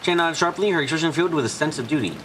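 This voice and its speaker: A man's voice: on the high side, lively intonation, rapid-fire, fairly bright tone, rough recording. A man reading visual novel narration and dialogue aloud in a fan dub style. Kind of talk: read-aloud game narration. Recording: faint background noise.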